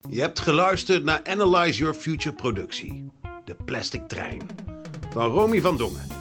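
A male narrator reads scripted credits in a fairly high voice. His pitch moves in a lively way, and guitar music plays clearly behind him. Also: moderate pace. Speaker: narrator reading title and credits.

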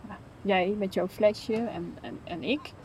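A woman's voice: medium pitch, slow pace, darkish tone, lightly acted, low-quality recording. A young woman playing an idealistic, chatty sister in naturalistic, conversational radio play dialogue. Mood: earnest. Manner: conversational, earnest. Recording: faint station ambience noise.

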